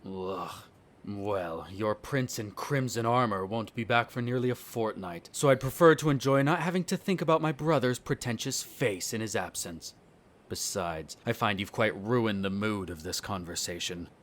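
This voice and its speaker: A man's voice: on the low side, expressive, normal speed, lightly acted. A man playing a smug, disdainful vampire noble in a scripted roleplay audio drama, speaking formally with sardonic condescension. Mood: annoyed, dismissive.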